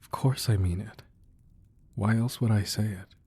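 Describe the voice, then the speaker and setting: Man playing devoted crime boss lover, deep-leaning mellow voice, tender, hesitant, intimate romantic audio roleplay. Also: unhurried, husky, no echo, heavily acted. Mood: affectionate. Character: devoted, protective crime boss boyfriend confessing his feelings. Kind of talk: romantic ASMR roleplay, intimate scripted monologue.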